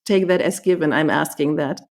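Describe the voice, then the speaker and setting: Low-voiced woman co-host, measured and thoughtful. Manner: measured, thoughtful, light laughs. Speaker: podcast co-host. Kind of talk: casual conversation.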